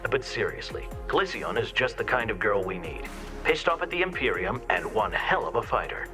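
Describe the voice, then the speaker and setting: An actor playing a mischievous, flamboyant rebel senator in a video game, speaking in a theatrical, teasing way. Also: male voice, fairly low pitch, sing-song, moderate pace, music in the background. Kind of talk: scripted video game dialogue.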